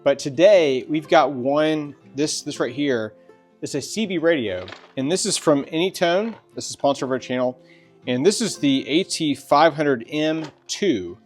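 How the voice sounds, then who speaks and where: A man's voice: fairly high pitch, expressive, unhurried, soft background music. A middle-aged man hosting a casual product review, informal and conversational, sometimes repeating himself. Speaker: video host.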